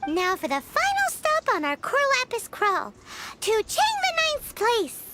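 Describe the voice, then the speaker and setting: A woman voicing a tiny, excitable, childlike fairy companion with a squeaky, chirpy voice in an anime game. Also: unhurried, very noisy, heavily acted. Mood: excited, chirpy. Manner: chirpy, refers to self in third person.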